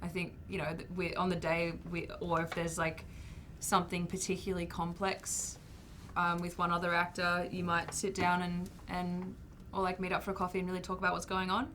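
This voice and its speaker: A woman with a slightly low voice talks in a roundtable interview. She speaks softly and thoughtfully, pausing and restarting as she thinks. Her tone stays casual. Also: completely flat delivery, a little hiss or hum. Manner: thoughtful, soft, hesitant. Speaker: actor guest.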